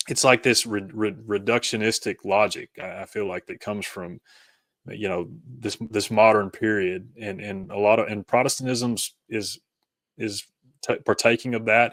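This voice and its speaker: Slightly low-voiced man, halting and reflective. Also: no room sound. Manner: halting, searching for words, repeats phrase starts. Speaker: podcast guest, author. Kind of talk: reflective interview conversation.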